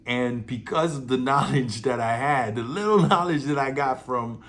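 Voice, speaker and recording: A man's voice: mid-range voice, normal speed, a bit bright. A man from Haiti, an actor, giving casual advice to theater students in a conversational way, with many fillers and repeated words, laughing easily.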